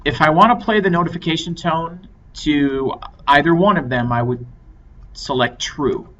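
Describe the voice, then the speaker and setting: A man giving a measured, step-by-step software tutorial as an instructor. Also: fairly high pitch. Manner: measured, explanatory step-by-step delivery. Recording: dull lo-fi microphone with clear noise.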